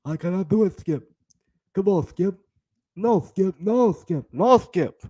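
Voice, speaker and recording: A man's voice: high-pitched, animated, slow, dark tone, slightly rough, exaggerated voice. A man putting on an exaggerated African accent, playful and insistent, in a theatrical, repetitive way. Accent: put-on African.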